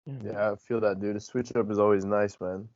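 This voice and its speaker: A man hosts a podcast, easygoing and slangy, asking short questions. His voice is a bit low. It is recorded close and dry, with a dull, lo-fi tone. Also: steady tone, normal speed, a little mellow, no echo. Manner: laid-back, slangy, brief replies. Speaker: podcast host. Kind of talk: casual podcast chat.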